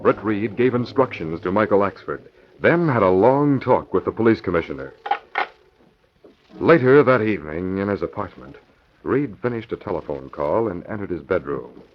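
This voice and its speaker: Narrator for vintage crime serial: man, very low voice, formal and suspenseful, dull lo-fi radio sound. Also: lively intonation, close to an everyday voice. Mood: grave, suspenseful. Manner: formal, dramatic, measured. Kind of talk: dramatic old-time radio narration. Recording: dull, lo-fi old radio recording.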